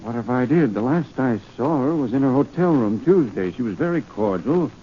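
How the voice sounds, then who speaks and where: A man's voice: medium pitch, animated, normal speed, natural voice. Male actor for indignant, self-important politician in 1940s radio drama; muffled, slightly noisy recording. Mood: irritated, defensive.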